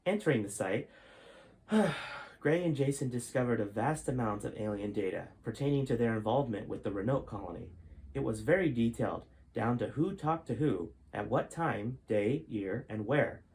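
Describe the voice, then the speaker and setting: A man's voice: mid-range voice, expressive, moderate pace, darkish tone, low-quality recording. A man reading his own adventure book aloud as a storyteller, in scripted narration.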